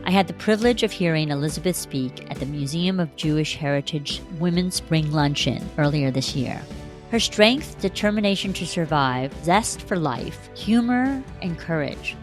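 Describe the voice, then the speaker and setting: An American woman hosting a podcast, reading a scripted introduction and then gently questioning her guest. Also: deep voice, animated, normal speed, soft background music. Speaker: podcast host.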